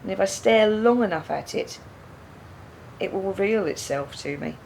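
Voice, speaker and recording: An actress playing a quiet, pensive woman burdened by doubt in a radio play, speaking in a reflective, halting way. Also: medium pitch, animated, vocal fry, a little hiss or hum, poor audio. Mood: pensive, sad. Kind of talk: radio play dialogue, naturalistic.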